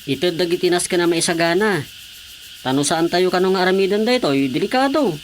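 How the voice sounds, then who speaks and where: A woman's voice: on the low side, animated, a little fast, slight background noise, lightly acted. A woman with an Ilocano accent playing an earnest, helpful young village man in a radio drama.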